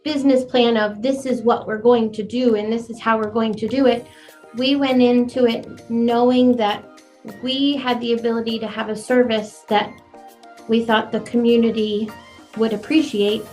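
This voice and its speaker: A chatty woman florist in her forties tells her story with a young-sounding, slightly high voice. She talks in an animated, easy way. Soft music plays behind her. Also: moderate pace. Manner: chatty and animated, laughs easily. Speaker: florist and business owner. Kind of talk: conversational interview.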